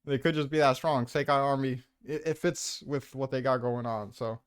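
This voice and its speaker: A man's voice: mid-range voice, steady tone, moderate pace, clear, resonant tone, no echo. A man in a casual chat, arguing his points with offhand swearing.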